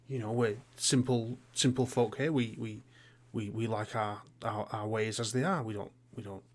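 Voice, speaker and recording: A man's voice: mid-range voice, natural voice. A man playing a plainly dressed, defensive villager who stammers, repeats himself and avoids answering. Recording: noisy hobby recording with clicks.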